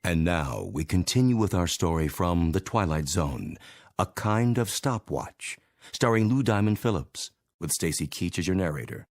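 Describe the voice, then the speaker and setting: A man's voice: low-pitched, animated, moderate pace. A man reading a scripted announcement as the announcer of a radio drama.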